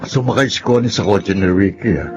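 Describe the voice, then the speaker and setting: Radio drama role: man as musing Filipino friend, low voice, curious, gossipy, heavy music bed. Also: a little fast, close to an everyday voice. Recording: dull sound, loud music behind.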